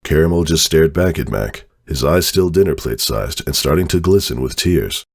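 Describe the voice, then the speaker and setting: A man's voice: extremely low pitch, animated, normal speed, husky. A man giving a dramatic storybook narration of a fan fiction tale.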